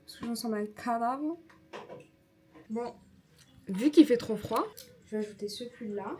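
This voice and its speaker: A woman's voice: fairly high pitch, moderate pace, a little mellow. A young woman from France chatting casually in a travel vlog, slangy and laughing often. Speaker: vlogger. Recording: music over the audio.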